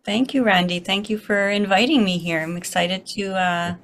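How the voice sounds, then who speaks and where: A woman from Toronto, answering interview questions in a measured, slightly hesitant way. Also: mid-range voice, fairly even intonation, fairly bright tone. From Toronto, Canada. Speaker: guest, home stager.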